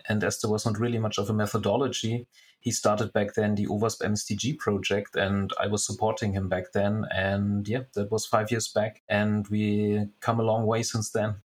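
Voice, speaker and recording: Husky low-voiced man explaining. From Germany. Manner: methodical, step-by-step explaining with restarts. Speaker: security architect guest. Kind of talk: explaining in an interview.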